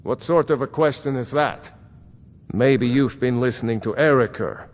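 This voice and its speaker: A man voicing a stern, loyal steward of a fantasy court in a video game, speaking formally and with measured admonishment. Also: mid-range voice, natural voice. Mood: stern, rebuking. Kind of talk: scripted game dialogue. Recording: long hall reverb.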